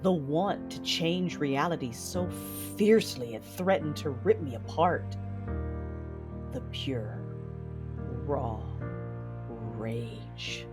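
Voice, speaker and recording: An actress playing a vengeful female Sith lord, narrating her own story in an audio drama with intense, deliberate delivery. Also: sits low, lively intonation. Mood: bitter grief. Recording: music behind the voice.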